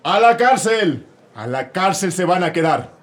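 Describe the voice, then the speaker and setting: A man's voice: sits high, very animated, a little slow, a bit bright, exaggerated voice. Man playing a stern, dim judge. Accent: Mexico City. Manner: curt interrogating questions. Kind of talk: comedic radio play acting.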